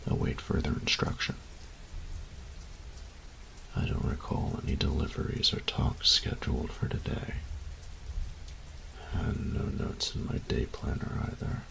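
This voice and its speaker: A man playing a meticulous, reclusive artificer in an audio roleplay, dictating formally and precisely in a gravelly, breathy voice. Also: a little slow, long pauses, fairly bright tone, a little hiss or hum, close to an everyday voice, poor audio. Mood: puzzled. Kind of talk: scripted audio roleplay acting.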